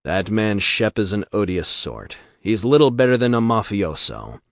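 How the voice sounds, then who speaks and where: A commanding tycoon lays down the rules in clipped, firm sentences, as a man reads him out. The voice sits low and moves with a lively pitch. It is recorded close and dry. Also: moderate pace, dry recording. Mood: stern. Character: stern powerful older businessman, cold and commanding.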